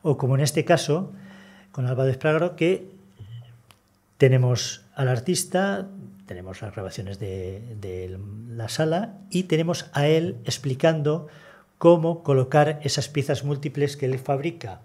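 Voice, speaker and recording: An older man delivers a seminar talk seated at a table in a conference room. His voice is mellow and a little breathy, and he explains things in a measured way with many hesitations. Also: mid-range voice. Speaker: conference speaker, conservator.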